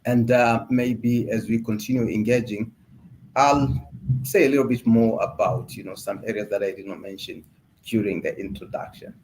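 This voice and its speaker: A middle-aged man from Tanzania, a podcast guest introducing himself in a measured way, with frequent fillers. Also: on the low side, steady tone, darkish tone, a little hiss or hum, poor audio.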